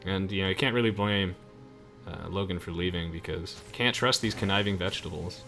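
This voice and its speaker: A man's voice: low voice, brisk, vocal fry, a bit bright, faint music behind. A young man giving casual video game commentary to friends as the host of a playthrough. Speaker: let's play host. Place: game voice chat with music behind.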